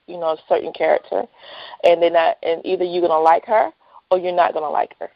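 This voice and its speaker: A woman's voice: fairly low pitch, fairly even intonation, quick pace, vocal fry, no room sound. A woman chatting casually as a guest in a phone interview. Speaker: interview guest, writer and entrepreneur. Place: phone call. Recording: dull lo-fi phone line.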